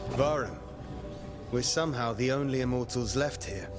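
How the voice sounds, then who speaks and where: A man's voice: medium pitch, moderate pace, lightly acted. Proud young warrior played by a man, music behind. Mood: reserved. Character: proud, cocky young male Persian warrior. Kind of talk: dramatic video game acting.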